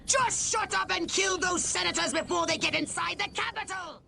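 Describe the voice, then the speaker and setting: A woman's voice: very high-pitched, normal speed, vocal fry, heavy background noise, full character voice. A woman playing a pompous alien invasion commander in a video game, scheming and scornful of humans. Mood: gloating triumph. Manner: pompous, theatrical. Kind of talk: video game cutscene acting. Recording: loud music under the voice.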